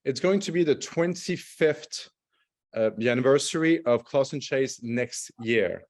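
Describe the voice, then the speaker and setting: A man's voice: mid-range voice, expressive, measured pace. French Canadian man leading a wine tasting. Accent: French Canadian with Australian and South African traces. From Montreal, Canada. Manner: chatty, loose, self-correcting explanations. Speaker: wine brand ambassador. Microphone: video call.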